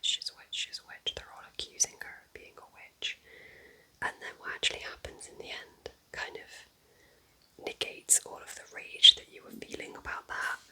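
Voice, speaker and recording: An ASMR creator whispering book recommendations in a breathy, unvoiced voice, with a gentle, informal, chatty manner. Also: rough recording.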